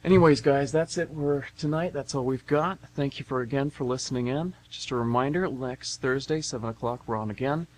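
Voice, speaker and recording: A man's voice: medium pitch, a little fast, few pauses, low-quality recording. A man hosting a radio theatre show, giving casual sign-off announcements. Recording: hissy, humming amateur radio broadcast.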